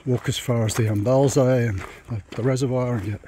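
A man's voice: mid-range voice, fairly even intonation, moderate pace. Man thinking aloud on a walk outdoors. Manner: rambling, thinking aloud with restarts. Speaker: vlogger walking a pilgrimage route. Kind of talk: casual walking vlog. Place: outdoors on a rural dirt track. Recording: noisy outdoor walking recording. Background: rural outdoor noise.

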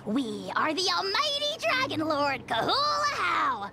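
A woman's voice: very high-pitched, wildly swooping pitch, a little slow, heavy background noise, heavily acted. A woman voicing a haughty little dragon lord in a video game, scornful and theatrical, speaking like royalty. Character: pompous, haughty little dragon lord, sneering self-styled sovereign. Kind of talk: video game character acting. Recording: game music behind.

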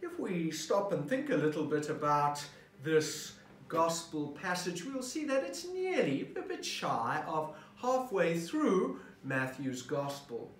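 A middle-aged man, a parish priest, reflects slowly on scripture, his somewhat high voice rising and falling with animation. He poses questions to the listener as he works through the readings. The camera sits at a distance in a roomy office. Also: big pitch swings. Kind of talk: sermon preparation talk. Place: office at a desk, indoors. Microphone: camera at desk distance.

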